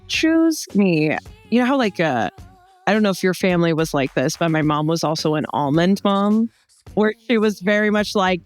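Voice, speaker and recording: Young american woman, lowish smooth voice, jokey podcast guest telling animated stories. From Texas, United States. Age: young adult. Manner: animated, joking, quotes others in dialogue. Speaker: podcast guest, comedy show founder. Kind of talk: casual chat, humorous anecdotes.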